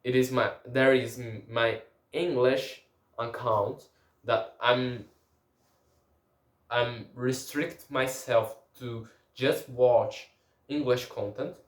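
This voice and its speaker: A man's voice: mid-range voice, steady tone, takes it slowly, echoey room. A man whose first language is Portuguese, speaking a casual language-learning diary and often restarting and searching for words.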